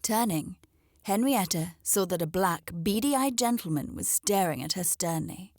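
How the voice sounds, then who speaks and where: A woman's voice: on the low side, expressive, a little slow, no room sound, clean studio sound. A woman narrating a children's storybook aloud as a scripted read-aloud.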